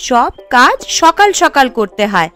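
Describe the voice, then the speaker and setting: A woman's voice: sits high, big pitch swings, unhurried, fairly bright tone, faint music behind, full character voice. A woman voicing a caring, busy, slightly tart young village wife in a cartoon, with a fussing manner. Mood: mildly peeved. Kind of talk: Bengali cartoon dialogue.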